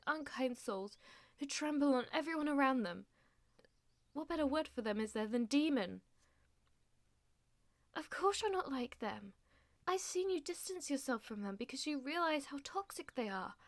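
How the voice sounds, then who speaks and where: High-pitched melodramatic schoolgirl, played by a woman. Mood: indignant, grandiose. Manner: theatrical, grandiose formal phrasing with flustered stammering. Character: melodramatic, delusional schoolgirl who fancies herself a demon lord's aide. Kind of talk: ASMR audio roleplay acting.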